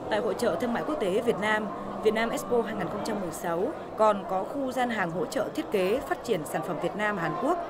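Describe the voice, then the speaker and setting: A woman narrating a television news report in a steady, formal reading voice. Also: mid-range voice, a little fast, heavy background noise. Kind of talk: scripted news voice-over.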